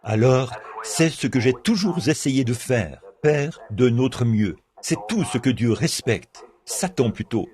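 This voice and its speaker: A hoarse man speaking as a preacher, with an unhurried, homely pastoral manner. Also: medium pitch, animated, darkish tone. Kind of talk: French dub of a church sermon.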